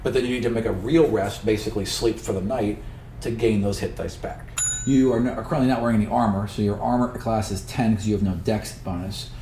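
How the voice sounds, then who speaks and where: A man's voice: fairly low pitch, steady tone, fast, warm tone. A man working as a game master, explaining a tabletop game world and its rules in a conversational, explanatory way. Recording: roomy, distant mic with faint noise.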